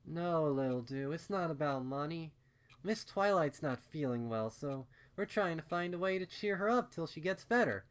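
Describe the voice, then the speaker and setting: Man putting on a doting, raised-pitch pegasus mother. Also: lively intonation, moderate pace, clean tone, close to an everyday voice. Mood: reassuring. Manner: cooing, soothing, motherly. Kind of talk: storybook character voice.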